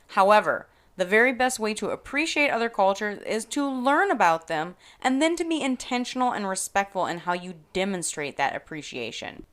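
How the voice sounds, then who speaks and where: A woman hosting a podcast, delivering a scripted opinion monologue in an emphatic, expressive way with playful asides. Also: medium pitch. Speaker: podcast host.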